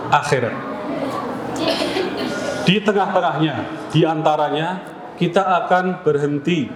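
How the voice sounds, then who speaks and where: A man preaching a sermon to a congregation in a deliberate, didactic way, phrase by phrase. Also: a little high. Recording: echoey room with loud background noise.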